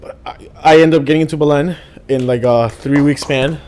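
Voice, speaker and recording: A man's voice: a little high, fairly even intonation, brisk, darkish tone. A man, a podcast guest chatting casually and rambling with lots of fillers. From Venezuela. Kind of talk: casual interview chat.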